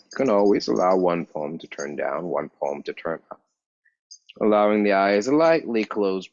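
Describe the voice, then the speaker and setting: A man guiding a yoga meditation as a teacher, speaking calmly and in measured short phrases with pauses. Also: on the low side, no room sound. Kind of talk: guided yoga meditation instruction. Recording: dull, muffled lo-fi sound.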